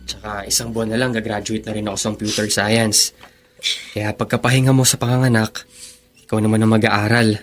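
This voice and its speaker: A man's voice: on the low side, little pitch movement, brisk, close to an everyday voice. A Filipino man playing a devoted, upbeat young elder brother in a sentimental radio drama, earnest and encouraging. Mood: reassuring. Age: young adult.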